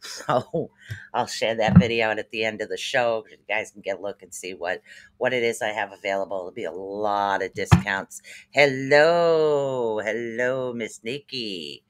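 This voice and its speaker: A man's voice: fairly low pitch, a little slow. A man with an East Coast American accent, hosting a casual livestream where he chats and gives psychic readings in a rambling way, with many fillers. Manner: chatty, rambling, with frequent fillers and laughs. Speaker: psychic reader and livestream host. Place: at home.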